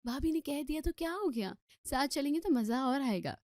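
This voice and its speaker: A woman voicing a sweet, tactful young daughter-in-law, gently persuading as the family peacemaker in a story. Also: high voice, animated, moderate pace, no echo, studio quality, pitched above the performer's own voice. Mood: cheerfully persuasive.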